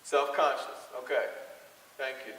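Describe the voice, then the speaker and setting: A man's voice: mid-range voice, fairly even intonation, measured pace, fairly bright tone, slight background noise. A man speaking as a workshop leader, questioning participants and explaining in an encouraging way, often repeating answers back. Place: large hall with an audience. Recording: echoey hall, distant microphone.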